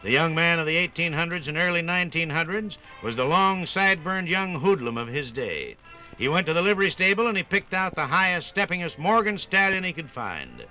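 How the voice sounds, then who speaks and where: Bright, theatrical 1950s radio narrator man. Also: fairly high pitch, poor audio. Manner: theatrical, folksy storytelling with drawn-out emphasis.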